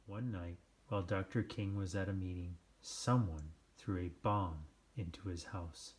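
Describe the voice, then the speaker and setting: Guy reading a kids book out loud, kinda low voice, really slow and deliberate, big pauses. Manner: measured, deliberate phrasing with dramatic pauses. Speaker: storybook reader. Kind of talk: scripted children's read-aloud narration.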